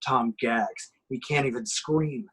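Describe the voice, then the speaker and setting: A man narrates screenplay action in a home horror table read. His voice is husky and mid-pitched, and he reads the scripted directions scene by scene. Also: slow, a bit bright.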